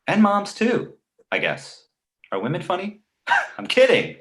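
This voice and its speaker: A man voicing a precocious, manipulative baby boy with a smart-alecky comic delivery in an animated comedy read. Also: high voice, a little slow, full character voice. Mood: cheeky, pleading. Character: precocious, wisecracking, manipulative two-month-old baby boy.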